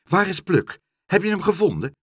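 An anxious male shopkeeper from a children's tale, voiced by a man narrator. He is gentle and hesitant, and his questions rise and fall dramatically with worry. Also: mid-range voice, very animated, measured pace, breathy edge, dry recording, studio quality, pitched above the performer's own voice.

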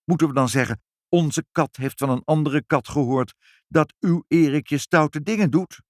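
A man's voice: on the high side, moderate pace, no room sound, studio quality, voice pushed up, lightly acted. A man voicing an anxious, polite mother who pleads nervously in a children's story. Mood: concerned. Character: anxious, polite, well-meaning mother who understands cats.

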